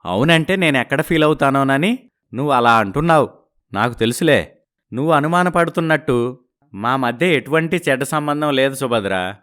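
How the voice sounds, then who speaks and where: Man playing a lively, evasive young husband. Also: mid-range voice, moderate pace, lightly acted. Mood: persuasive, explaining. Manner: placating, persuasive, drops in English words.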